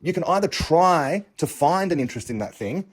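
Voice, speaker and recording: A man's voice: a little high, lively intonation, moderate pace. A man with an English accent, thinking aloud in a reflective, argumentative monologue and often asking rhetorical questions. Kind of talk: unscripted monologue on learning and understanding.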